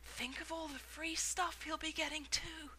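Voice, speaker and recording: A woman's voice: very high-pitched, lively intonation, a little slow, pushed and bright, voice pushed up, exaggerated voice. A woman voicing an envious boy in a crowd in a storybook reading. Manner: envious, wistful.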